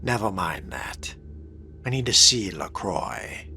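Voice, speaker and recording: A man's voice: deep voice, lively intonation, slow, bright, forceful tone, slight background noise. A man role-playing his game character's dialogue in a terse, formal manner.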